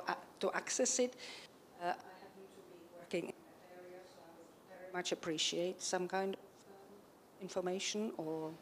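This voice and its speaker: A woman in the audience asking a speaker a question in a halting, formal way. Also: fairly low pitch. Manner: halting, formal, searching for words. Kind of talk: question from the floor.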